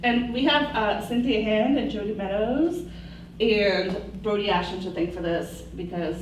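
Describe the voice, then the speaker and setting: A woman's voice: mid-range voice, unhurried, noisy background. Woman narrating in an echoey room. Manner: amused, giggly narration. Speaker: co-host. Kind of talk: dramatic book reading on a live show. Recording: echoey room, distant mic.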